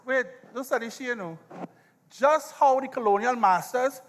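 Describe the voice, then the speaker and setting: A man's voice: very high-pitched, normal speed. A man acting an argumentative, persuasive Tobagonian in a live stage drama, with a Trinidad and Tobago accent. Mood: aggrieved. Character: argumentative Tobagonian man defending self-governance.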